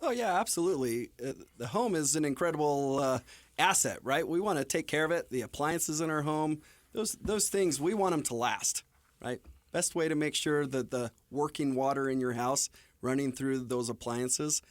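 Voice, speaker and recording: A man's voice: fairly high pitch, moderate pace, dry recording. A man as a radio studio guest from a company, explaining casually and checking for agreement as he goes. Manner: adds "right?" to check agreement. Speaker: guest water company representative. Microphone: close studio microphone.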